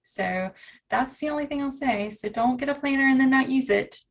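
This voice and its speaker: A woman's voice: sits high, moderate pace, vocal fry. Southern American woman chatting casually. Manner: informal, encouraging, folksy. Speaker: planner coach and community host. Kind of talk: casual chat to online viewers.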